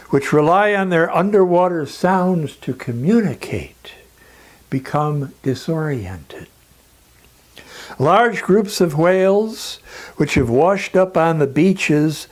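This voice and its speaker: A man reads a scripted educational talk like a lecturer. His delivery is very slow and deliberate, with dramatic pauses. His pitch swings widely as he stresses key words emphatically.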